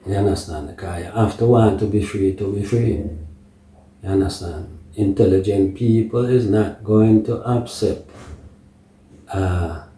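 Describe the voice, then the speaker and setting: A Jamaican man delivers unscripted political commentary at a slow, halting pace. His voice is lowish and mellow, with patois turns of phrase and rhetorical check-ins. He sounds recorded in an echoey room, away from the microphone. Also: steady tone, distant mic.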